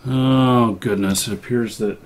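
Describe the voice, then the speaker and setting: Male live-stream host. Casual, halting delivery, thinking aloud, middle-pitched everyday voice. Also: steady tone.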